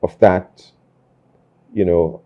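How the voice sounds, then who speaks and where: A man hosting an interview, speaking thoughtfully and haltingly, with many fillers and restarts. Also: deep voice, fairly even intonation, phone-quality sound. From Nigeria. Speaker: podcast host. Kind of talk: reflective conversational interview.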